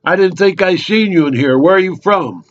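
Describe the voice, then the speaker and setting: A man's voice: high voice, expressive, moderate pace, highs cut off, pitched above the performer's own voice. A man playing a talkative, oversharing small-town local at a bar in a rambling, eager dramatic monologue. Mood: nosy, friendly.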